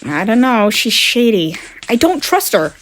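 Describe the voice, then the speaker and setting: A woman's voice: on the high side, sing-song, a little slow, a little hiss or hum. A woman playing a wary, stubborn, suspicious teenage girl in a comic fan dub. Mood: distrustful.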